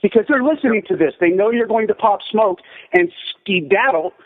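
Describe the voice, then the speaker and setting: A man with a high, very animated voice comes through a tinny phone connection. He sounds insistent and commanding as he delivers urgent warnings. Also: a little fast. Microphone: telephone.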